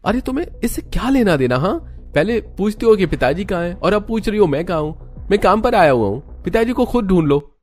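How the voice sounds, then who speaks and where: A man's voice: sits high, sing-song, brisk, darkish tone, slight background noise, voice pushed up. Man acting irritable husband, raised voice. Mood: irritated, scolding. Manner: curt, scolding. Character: irritable, dismissive young husband in a village cartoon.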